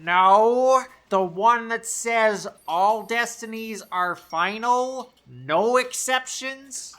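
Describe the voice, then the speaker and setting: A woman's voice: on the high side, drawn-out words, fairly bright tone. A woman playing a practical, matter-of-fact and exasperated junior copy aide in a comic audio drama. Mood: reasoning, impatient.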